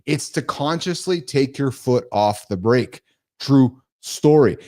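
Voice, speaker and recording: A middle-aged man hosting a live morning talk show, speaking casually and with animation, often addressing his listeners as guys. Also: slow. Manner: animated, calls listeners guys, jumps in pitch.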